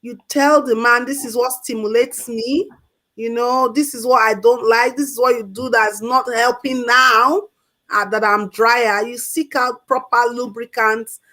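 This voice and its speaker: A woman's voice: fairly high pitch, steady tone, a little slow, pushed and bright. A woman on a call-in talk show giving health advice in an emphatic way, repeating her key points. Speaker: health expert guest.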